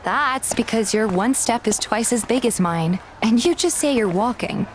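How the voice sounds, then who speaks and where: A woman's voice: on the high side, lively intonation, moderate pace, noisy background, close to an everyday voice. A woman playing a pouty, loyal young heroine who complains playfully in a fan dub of a romance game. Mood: nostalgic, teasing. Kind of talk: fan dub dialogue with first-person narration. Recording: clear background music.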